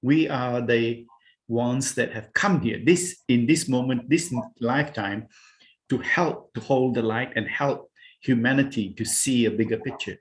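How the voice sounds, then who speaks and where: A man's voice: medium pitch, unhurried, poor audio. Originally from Singapore, this man speaks as a guest on a video call in a mid-range voice. He talks in a hesitant, searching way, restarting phrases and leaning on "you know" while recounting his path. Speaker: guest, spiritual healer and meditation teacher. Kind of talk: casual interview talk over a video call.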